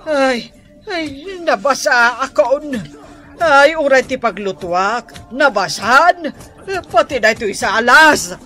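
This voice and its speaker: Slow-talking, nagging older Ilocano wife. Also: female voice, sits high, lively intonation, sharp tone, faint music behind, full character voice. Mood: flustered, exasperated. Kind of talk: Ilocano radio comedy drama acting.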